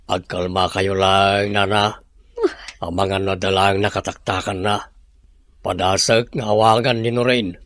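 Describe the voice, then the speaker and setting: A man's voice: low-pitched, flat delivery, measured pace, fairly bright tone, dry recording. A man acting an earnest young man, a worried friend, in an Ilocano radio-style drama. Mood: concerned.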